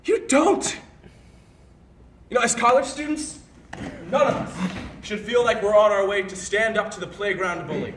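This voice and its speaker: A young man on stage playing a bitter, reproachful college student who confronts a former friend in an accusatory monologue with deliberate pauses. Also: medium pitch, lively intonation, fairly bright tone, natural voice. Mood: angry, scornful. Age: young adult. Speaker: stage actor. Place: indoor performance space, picked up from far off. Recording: roomy, distant live stage recording, faint noise.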